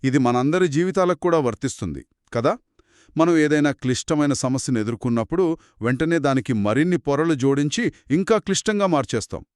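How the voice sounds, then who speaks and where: A man's voice: fairly high pitch, animated, brisk, darkish tone, dry recording, studio quality. A man co-hosting a conversational story discussion in an analytical, explanatory way. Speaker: podcast co-host.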